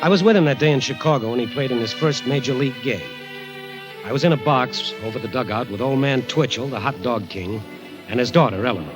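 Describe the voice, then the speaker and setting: An actor playing a folksy sports reporter narrating. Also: male voice, medium pitch, a little fast, over loud music, natural voice. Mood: storytelling. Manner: folksy storytelling. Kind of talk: 1940s radio acting.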